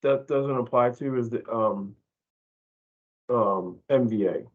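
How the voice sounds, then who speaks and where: A man explaining billing rates informally in a work meeting, halting and often correcting himself. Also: mid-range voice. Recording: dull, lo-fi online meeting audio.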